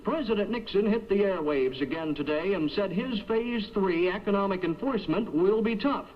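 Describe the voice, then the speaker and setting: A man's voice: sits high, expressive, normal speed. A man reading a scripted 1970s radio newscast as the anchor. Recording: dull vintage AM radio aircheck.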